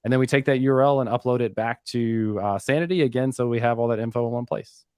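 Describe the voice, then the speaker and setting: A man's voice: mid-range voice, fast talker, darkish tone, smooth, dry recording, clean studio sound. Man casually walking through a technical demo. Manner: self-deprecating, joking. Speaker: guest developer giving a demo.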